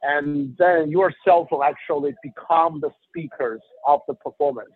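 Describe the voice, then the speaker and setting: A man who is a non-native English speaker, explaining his art in an interview, haltingly and with repeated words. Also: a little high. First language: not English. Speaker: artist, interviewee. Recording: thin phone-like remote line, dry.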